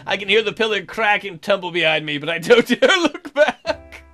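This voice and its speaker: A man's voice: sits high, sing-song, normal speed, bright, forceful tone, soft background music. A man hosting a let's play, reading a visual novel aloud with crude, irreverent joking asides.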